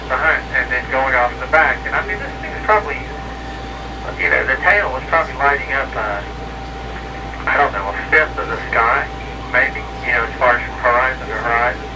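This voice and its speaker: A man's voice: medium pitch, brisk. A man chatting casually and telling stories over CB radio in a rambling way, repeating and correcting himself. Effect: CB radio transmission. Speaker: hobby CB radio operator. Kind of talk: casual CB radio chat and storytelling. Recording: distant, noisy CB radio transmission.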